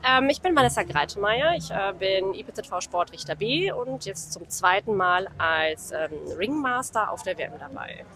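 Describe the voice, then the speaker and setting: A woman's voice: mid-range voice, expressive, moderate pace, few pauses, a crowd in the background. Woman explaining rules with fillers. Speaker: ring steward and judge. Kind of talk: interview answers. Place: outdoors at a horse show.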